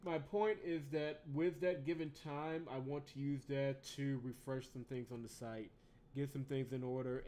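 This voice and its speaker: An easygoing man chats to his viewers through a desk mic while he plays. His pitch sits in the middle for a man, and he breaks into laughs often. A soft game soundtrack runs quietly underneath. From Georgia, US. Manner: chatty and animated, laughs often, reads game text aloud. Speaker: streamer. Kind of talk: casual game stream chat. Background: game soundtrack music.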